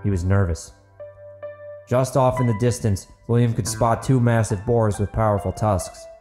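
A man's voice: on the low side, moderate pace, music in the background. A man reading dramatic fiction as an audiobook narrator, in a scripted storytelling style.

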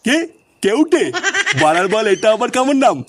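A man voicing a grumpy old grandfather in a comic cartoon dub, gruff, scolding and exasperated. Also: high voice, sing-song, normal speed, slight background noise. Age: older.

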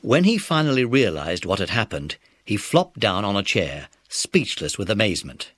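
A man's voice: on the low side, sing-song, moderate pace, dry recording. A British man narrating a scripted children's story.

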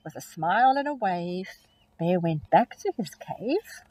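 A middle-aged woman teacher reading a picture book aloud to children in an expressive storytelling manner, recorded outdoors on a phone camera held close. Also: on the low side, a little slow. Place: outdoors in a grassy field.

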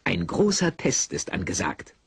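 A husky-voiced man giving dramatised storybook narration for an audio play. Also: deep voice, huge pitch swings, a little slow, dry recording.

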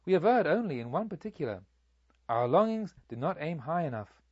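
A man's voice: on the high side, big pitch swings, brisk, breathy edge, no room sound, muffled recording. Man reading as fervent male spirit, dry sound. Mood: fervent relief. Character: weary male spirit revived into fervent hope.

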